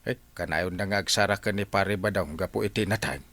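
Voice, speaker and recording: A man's voice: deep voice, quick pace, a bit bright, no echo. A man playing a folksy village captain who hems and gives advice in a radio comedy drama, with an Ilocano accent. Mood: hesitant.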